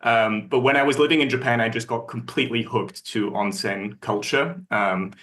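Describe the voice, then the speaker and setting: Male panelist, 30s, bright clear voice, earnest and keen. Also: medium pitch, normal speed. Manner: earnest, enthusiastic storytelling. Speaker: panelist, bathhouse company leader. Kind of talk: panel discussion answer.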